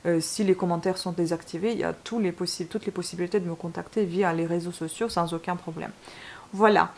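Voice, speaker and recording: A woman's voice: fairly low pitch, steady tone, a little fast, a little mellow, slight background noise. A woman from Ukraine speaking French with a Ukrainian accent as a book channel host, talking straight to her viewers, frank and a little exasperated, with many fillers and restarts. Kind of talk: casual vlog monologue to viewers.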